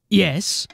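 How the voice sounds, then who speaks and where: An actor playing an earnest, dogged watch sergeant in a fantasy audio drama, deferential but insistent. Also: male voice, no room sound.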